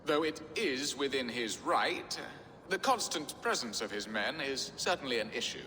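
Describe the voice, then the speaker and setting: An actor playing a foppish, theatrical middle-aged lord who speaks in florid, pompous phrases in a video game. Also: male voice, mid-range voice, lively intonation, moderate pace, pushed and bright. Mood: placating, pompous. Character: foppish, flowery middle-aged English lord, easily flustered. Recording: reverberant hall, faint background noise.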